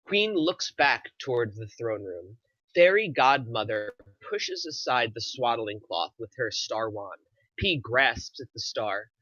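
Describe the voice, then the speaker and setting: A man's voice: medium pitch, big pitch swings, slow, pushed and bright, no room sound. A man reading scripted stage directions as the narrator of a screenplay table read. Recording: slightly dull, dry recording.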